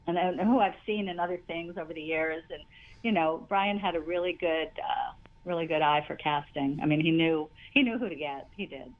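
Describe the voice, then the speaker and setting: An older woman with a naturally high voice talks casually as an interview guest. She rambles, restarts her sentences and laughs often. The audio is a bit dull and lo-fi. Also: moderate pace.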